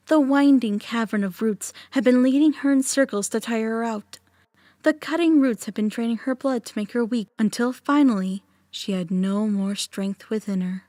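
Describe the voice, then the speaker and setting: Audio play storyteller, played by a woman: mysterious, curious, hushed and dramatic, higher-pitched, with music behind. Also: lightly acted. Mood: hushed, ominous. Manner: theatrical, hushed, suspenseful delivery.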